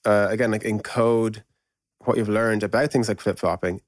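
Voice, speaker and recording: A man's voice: fairly low pitch, steady tone, normal speed, no room sound, studio quality. A man explaining his thinking in a casual podcast conversation, informal and thinking aloud with frequent asides. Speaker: podcast guest discussing product principles and process.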